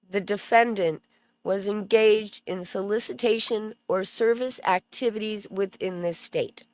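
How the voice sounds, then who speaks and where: A woman's voice: medium pitch, dry recording. A woman hosting a casual commentary stream, chatty and sarcastic, who stops to read legal text aloud. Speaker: commentary channel host.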